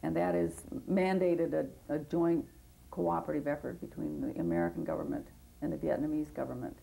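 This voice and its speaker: Gravelly low-voiced earnest woman. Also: fairly even intonation, a little fast, warm tone, low-quality recording. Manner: earnest, impassioned, with restarts. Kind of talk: documentary interview.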